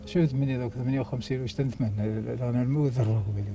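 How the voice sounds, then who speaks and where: A man's voice: mid-range voice, fairly even intonation, racing, background noise. A man in his late fifties with an Algerian accent, answering interview questions in short, repetitive phrases. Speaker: homeless interviewee. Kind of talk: casual street interview answers. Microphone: handheld reporter microphone.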